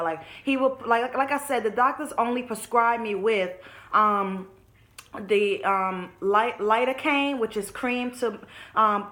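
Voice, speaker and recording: Candid young woman vlogger, slightly high voice. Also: fairly bright tone. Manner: candid, frank, addresses viewers as y'all, swears casually. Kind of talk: candid storytelling to camera.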